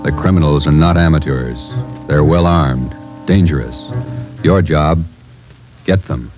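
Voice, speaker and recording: A male announcer delivering a grave, deliberate dramatic introduction for an old radio show. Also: very deep voice, lo-fi sound. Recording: old radio broadcast with loud music behind.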